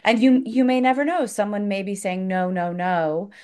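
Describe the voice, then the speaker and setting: An animated woman chatting casually about acting, telling stories with lively quoted voices. Her pitch sits in a middle range, and she talks in an easy, conversational way. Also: normal speed. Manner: animated storyteller, acts out quotes. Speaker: actor. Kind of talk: casual chat.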